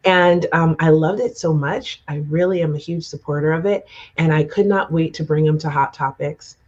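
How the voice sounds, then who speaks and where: A woman co-host speaking in a fairly low voice. She is effusive and gushing, peppering her talk with "um"s. The audio is dull and lo-fi. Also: moderate pace, a little mellow. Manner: effusive, gushing praise with many "um"s. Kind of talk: enthusiastic talk show introduction.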